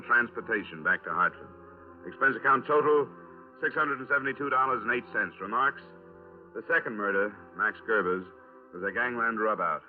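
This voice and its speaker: A man's voice: fairly low pitch, phone-quality sound, lightly acted. An American man acting a hard-boiled, dry, insistent insurance investigator in a 1950s radio drama, clipped and matter-of-fact. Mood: dry, wry. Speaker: lead actor in a radio crime drama. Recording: thin, band-limited 1950s radio recording.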